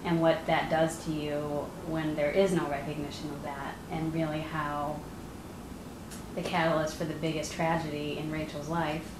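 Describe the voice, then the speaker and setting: A woman interviewer speaking thoughtfully and in measured phrases about a novel. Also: sits low. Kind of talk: casual interview conversation. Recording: roomy with background noise.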